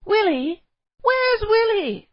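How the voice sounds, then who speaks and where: A woman voicing a fussy, scolding cave housewife who talks in shrill exclamations. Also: big pitch swings, normal speed, no room sound, highs cut off. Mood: frantic.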